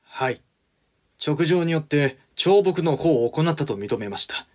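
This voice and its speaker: Formal, high-voiced samurai adviser. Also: male voice, animated, a little mellow. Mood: deferential, formal. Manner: formal, measured, respectful. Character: cautious, deferential male samurai-era regent and adviser. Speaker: voice actor. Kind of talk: period radio drama acting in archaic speech.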